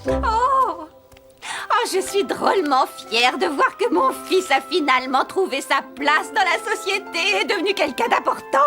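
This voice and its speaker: A woman's voice: very high voice, very animated, moderate pace, heavily acted. A woman voicing a doting, haughty mother scarab beetle in a cartoon, melodramatic and gushing. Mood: proud. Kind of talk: cartoon character acting. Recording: music behind the voice.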